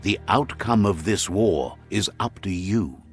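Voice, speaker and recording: A middle-aged man giving calm, scripted storybook narration for a game, relaying what the characters say. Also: sits low, measured pace. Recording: studio voice-over with soft game music.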